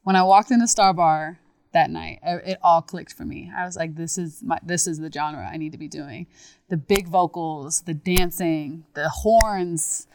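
A woman talking casually as an interviewed singer, expressive and reflective, with emphatic moments. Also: sits low, a little slow.

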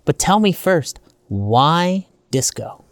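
A woman's voice: low-pitched, very animated, slow pace, no room sound. A woman interviewer chatting casually, in an effusive, conversational way.

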